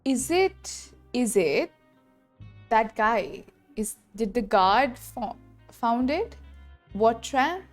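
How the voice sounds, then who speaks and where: High-voiced woman streaming a game. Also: big pitch swings, very slow. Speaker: gaming streamer. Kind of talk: casual playthrough commentary, reading game text aloud. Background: game music.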